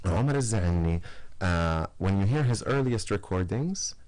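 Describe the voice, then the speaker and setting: A man's voice: low voice, lively intonation, measured pace, a little mellow. A man as a radio interview guest, explaining at length in long lists with frequent fillers and restarts. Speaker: guest artist.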